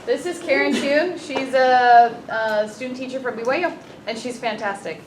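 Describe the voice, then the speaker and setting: A woman's voice: sits high, moderate pace. A woman, a teacher, giving an informal introduction at a staff meeting. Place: large room at a school faculty meeting. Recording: echoey room, distant mic, loud noise.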